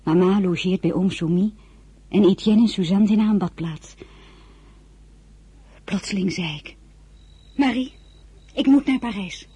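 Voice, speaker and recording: A woman playing an earnest, lovesick French teenage girl in a radio drama, with a husky, breathy voice. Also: mid-range voice, measured pace, long pauses, dark tone. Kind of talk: radio drama acting with diary narration.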